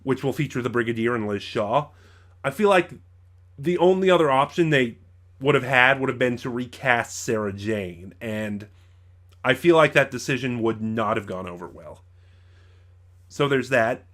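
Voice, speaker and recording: A man hosting an informal review in a conversational, halting way, often circling back to rephrase himself. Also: mid-range voice, fairly bright tone. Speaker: reviewer and host of his own channel. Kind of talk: informal review to camera or mic, loosely scripted.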